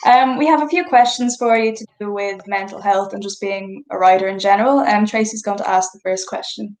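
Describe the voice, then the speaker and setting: A woman's voice: medium pitch, steady tone, a little fast, darkish tone, echoey room, low-quality recording. A young Irish woman, a student, hosting a school interview in a friendly way and laughing often. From Ireland.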